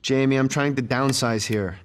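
An actor playing a smug, glib corporate executive in a video game, polished, flippant and sarcastic. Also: male voice, mid-range voice, normal speed, close to an everyday voice. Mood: exasperated. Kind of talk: video game cutscene acting. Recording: loud background noise behind voice.

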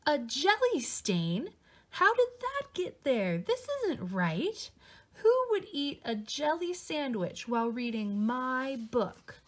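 An excitable little boy from a picture book, acted out by a woman, full of indignant exclamations. The voice sits high and stretches words out slowly with theatrical exasperation. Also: heavily acted. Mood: alarmed, exasperated.

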